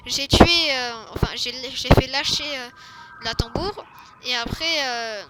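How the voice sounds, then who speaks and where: A high-pitched woman stumbles through an in-character backstory, stopping and restarting her sentences with many fillers. Heavy background noise sits under her voice the whole time. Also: steady tone, measured pace. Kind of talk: in-character game roleplay.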